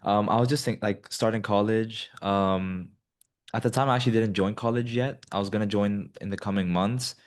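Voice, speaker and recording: A man's voice: fairly low pitch, moderate pace. A man in his twenties from Colombia, answering interview questions casually with lots of ums and you-knows. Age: 20s. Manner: many ums and you-knows, relaxed and rambling. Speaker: guest, business student.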